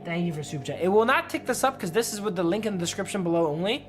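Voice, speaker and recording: A man's voice: high-pitched, lively intonation, very fast. A man streaming a game with casual, chatty, sweary commentary full of slang. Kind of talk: casual livestream commentary and reading game text aloud. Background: music behind the voice.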